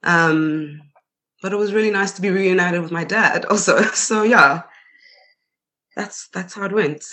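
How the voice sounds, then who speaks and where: A woman's voice: fairly low pitch, a little slow, sharp tone. A young South African woman with a South African accent, chatting as a guest in a casual interview and laughing often.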